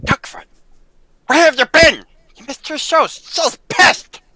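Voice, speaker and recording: A woman's voice: sits high, takes it slowly, exaggerated voice. A woman playing a hard, defiant circus performer in a radio play, with melodramatic delivery. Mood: wary, defiant. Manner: melodramatic, sharp. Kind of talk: radio play table read.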